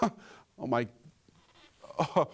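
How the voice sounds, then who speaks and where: A man presenting a scripted gadget review, with a joking streak in his delivery. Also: extremely slow.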